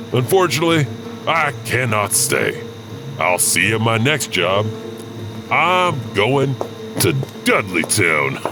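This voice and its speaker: A man narrating a horror anthology from a script as its host. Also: fairly low pitch.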